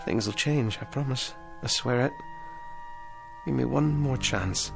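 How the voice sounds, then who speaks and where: A man's voice: medium pitch, measured pace, vocal fry, over music. An actor playing a hapless, eager ventriloquist down on his luck, hesitant and polite, in a radio drama. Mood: earnest, hopeful. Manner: hesitant, stammering, earnest, polite.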